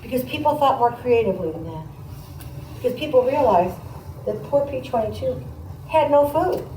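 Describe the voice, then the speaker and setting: A woman's voice: on the high side, lively intonation, moderate pace, mellow. Female teacher doing a conversational read-aloud, explanatory and questioning, recorded at a distance with some background noise. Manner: explanatory, prompting listeners with questions. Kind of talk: reading aloud to children with conversational asides. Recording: roomy, distant mic, noisy room.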